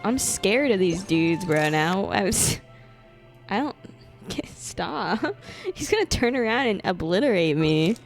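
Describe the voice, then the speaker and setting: A young woman streams a game from her room, talking slowly with a pitch that swoops all over. She giggles often, swears freely and jumps into loud startled outbursts. Game music plays faintly underneath. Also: lively intonation. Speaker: gaming streamer. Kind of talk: casual gameplay commentary. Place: home room.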